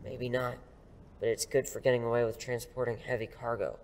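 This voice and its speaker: A man's voice: mid-range voice, flat delivery, a little fast, a little mellow, slight background noise, natural voice. A man playing a confident superhero mentor in an audio drama, with a dry, self-assured, instructive manner. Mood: hushed, focused.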